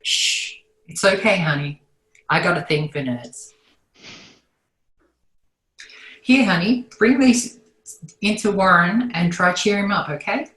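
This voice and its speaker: A woman voicing a gentle, caring sitcom mom in an amateur table read, soothing and motherly. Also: lowish voice, animated, measured pace. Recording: echoey room over online call.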